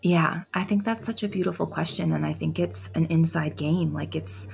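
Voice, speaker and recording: A woman speaking as a coach in a podcast interview. Her voice is low and slightly gravelly, soft and quiet. She talks in a reflective, unhurried way, with long pauses between her thoughts. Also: steady tone, a little hiss or hum. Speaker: confidence coach, podcast guest.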